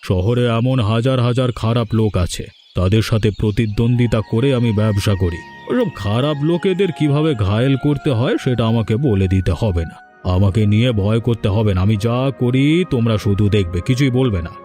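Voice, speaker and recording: A man voicing a clever, kindly rich businessman from the city in a cartoon, speaking calmly and with confidence. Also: lowish voice, moderate pace, soft background music, natural voice. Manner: calm, assured, instructing. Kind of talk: cartoon voice acting.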